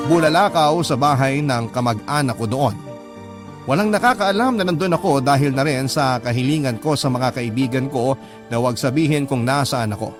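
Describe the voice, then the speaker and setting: Male story narrator over music. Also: mid-range voice. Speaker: radio drama narrator reading a listener's letter. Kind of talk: scripted first-person story narration. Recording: clear music bed under narration. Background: background music.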